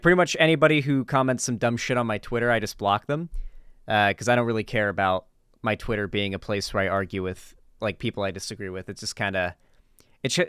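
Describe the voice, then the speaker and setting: Man, streamer commentary, casual and blunt, emphatic, smooth clear voice, very dry sound. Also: medium pitch, a bit bright, dry recording. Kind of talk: casual unscripted reaction commentary.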